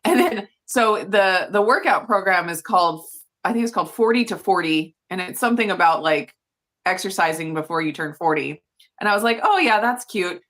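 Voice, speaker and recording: A woman's voice: mid-range voice, animated, normal speed, a bit bright. A woman in her thirties chatting casually as co-host, talking loosely with plenty of fillers and frequent laughs. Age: 30s. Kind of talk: casual chat.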